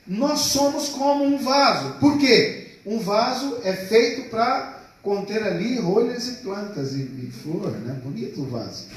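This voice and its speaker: A man preaches a sermon, his fairly high voice ringing through a very echoey church hall. He stands well back from the mic, stretches key phrases slowly and keeps calling on the congregation to answer. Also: expressive, far from the mic. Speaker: pastor.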